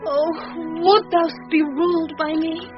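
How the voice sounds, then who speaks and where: A woman's voice: extremely high pitch, steady tone, unhurried. A woman playing an imperious, passionate noblewoman in a classical radio play, commanding and emotional. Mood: tender pleading. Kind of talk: classical radio drama acting. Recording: muffled radio recording with music behind.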